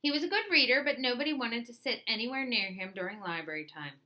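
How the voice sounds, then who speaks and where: A woman reading a children's picture book aloud with lively, expressive storytelling. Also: on the high side, quick pace.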